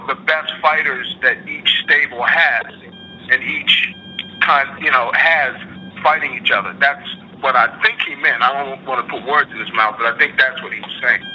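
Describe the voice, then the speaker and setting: A man working as a reporter, putting halting, wordy questions on a conference call. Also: medium pitch, sharp tone. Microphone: telephone conference call. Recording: muffled phone line, faint noise.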